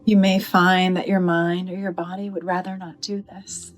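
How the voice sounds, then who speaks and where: A woman leading a guided meditation in a gentle, soothing manner, with deliberate phrasing and pauses. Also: fairly low pitch, flat delivery, soft background music.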